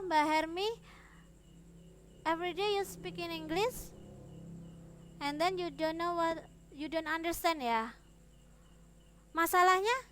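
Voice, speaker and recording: A woman's voice: high voice, sharp tone, a little hiss or hum. A woman with an Indonesian accent teaching English informally to students, mixing English terms into her explanations and often checking in with small tags. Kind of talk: informal online lesson, explaining and addressing students.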